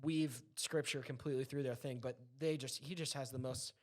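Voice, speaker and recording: A man's voice: mid-range voice, steady tone, brisk. A man chatting casually, retelling anecdotes in an enthusiastic, rambling way.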